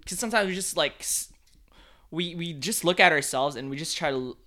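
A man's voice: a little high, brisk, sharp tone. A man from the Philippines who works as a photographer, chatting casually and filling his talk with "like" and "man". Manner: laid-back, many fillers like "like" and "man". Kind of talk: casual chat.

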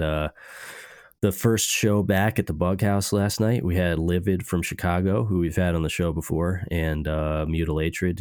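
A man's voice: low voice, darkish tone, no echo, studio quality. A young man hosting a casual music podcast, a little stuffed up from allergies, rambling loosely with lots of fillers. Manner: rambling, laid-back, many filler words. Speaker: podcast host.

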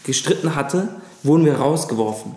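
A man in his thirties from Lebanon, whose first language is Arabic, recalling his childhood as an interview guest with frequent pauses and fillers. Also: a little high, animated, a little mellow. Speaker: guest, actor. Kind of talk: casual interview chat. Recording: echoey room.

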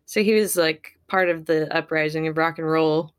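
Casting: young American woman, lower register, recorded dry, relaxed-format interview guest talking casually. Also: normal speed, smooth, no room sound. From Ocala, Florida, United States. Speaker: guest, singer-songwriter.

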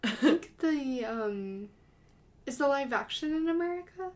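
Woman on a podcast, kinda high voice, dry and matter of fact. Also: expressive, measured pace. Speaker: podcast co-host. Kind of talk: casual chat.

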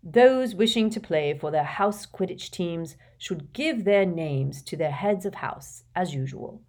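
Woman as an old male wizard, storybook headmaster, genial, measured, stately, addressing pupils. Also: fairly low pitch, expressive, darkish tone. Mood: stately, announcing.

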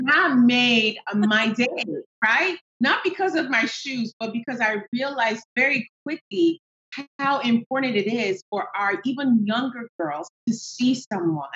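A woman from Nigeria with a Nigerian accent, a guest entrepreneur chatting lively and laughing easily in an interview from home. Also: a little high. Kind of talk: casual interview chat. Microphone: video call. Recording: roomy, dull video call audio.

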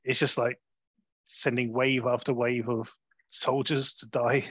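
A man hosting a conversational film review, talking in a halting way and often rephrasing as he goes. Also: mid-range voice, little pitch movement, fairly bright tone, dry recording. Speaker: film review podcast host.

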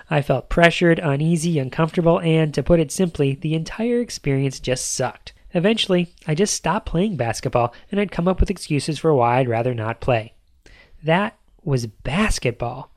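A man's voice: medium pitch, darkish tone, no room sound. A man hosting a podcast, talking through a scripted monologue in a conversational way, with playful emphasis on lists. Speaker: podcast host.

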